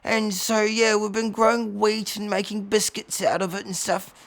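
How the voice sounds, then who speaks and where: A man voicing an old male village cat leader, weary and doddering, with a slow, fretful, drawn-out delivery. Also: high voice, flat delivery, fairly bright tone, voice pushed up. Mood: weary lament.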